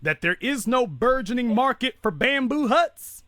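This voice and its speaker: A man hosting a call-in radio show, taking callers and teasing them with quick jokes. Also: very high voice, expressive, sharp tone. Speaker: radio talk show host.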